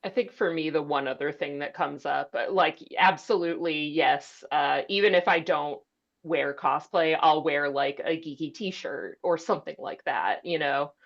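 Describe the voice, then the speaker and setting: Low-voiced woman, slightly muffled audio. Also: moderate pace. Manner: casual, frequent "like". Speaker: librarian on a panel. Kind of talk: informal panel discussion.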